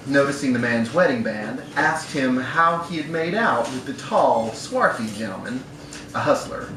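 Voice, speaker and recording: A man giving a wry live reading of a literary short story, performed as a storyteller. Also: mid-range voice. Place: bar room at a live event. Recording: echoey, noisy live room, distant mic.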